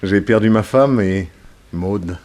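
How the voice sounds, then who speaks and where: A middle-aged businessman dad, lowish voice, polite but hesitant, old french radio play. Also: slow, a little mellow. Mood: proud, affable. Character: devoted, uneasy widowed businessman father, middle-aged. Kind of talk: 1960s French radio drama acting.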